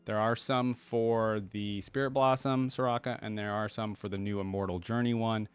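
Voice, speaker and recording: A man's voice: lowish voice, fairly even intonation, moderate pace, clear, resonant tone. A man giving casual reaction commentary as a host, rambling as he thinks aloud.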